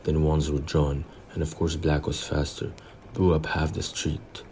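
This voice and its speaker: Man playing a very deep, solemn government minister. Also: flat delivery, darkish tone, a little hiss or hum. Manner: measured, solemn, formal. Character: grave, pompous government minister, older man. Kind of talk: audio play acting.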